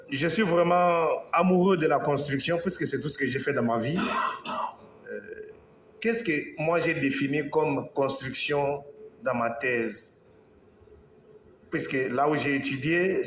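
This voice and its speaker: A man from Congo, an engineer, giving a slow, halting talk to an audience, with pauses and restarts. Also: medium pitch. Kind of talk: speech to an audience. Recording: echoey hall, faint background noise.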